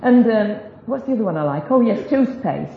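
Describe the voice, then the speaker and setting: A woman teaches a group in a relaxed, chatty way, tossing in self-deprecating jokes as she goes. The recording is roomy and echoey, with a dull, lo-fi sound and a faint hiss underneath. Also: medium pitch, a little fast, gravelly. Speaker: speaker teaching a group about biblical Hebrew. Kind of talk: informal Bible teaching talk.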